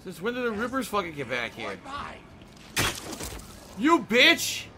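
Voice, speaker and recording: A man streaming gameplay from a small room, talking over a headset with a lively, swinging pitch that sits a little high. He rants and swears at the game. Loud game audio sits under his voice throughout. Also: a little slow, noisy background. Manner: frustrated, swearing, ranting. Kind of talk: live gameplay commentary. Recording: game sounds loud behind voice.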